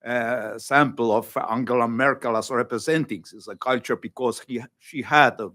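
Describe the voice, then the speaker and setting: A male academic panelist answering a question, pausing often and searching for words with many fillers. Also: medium pitch, fairly even intonation, bright, forceful tone. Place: conference panel room.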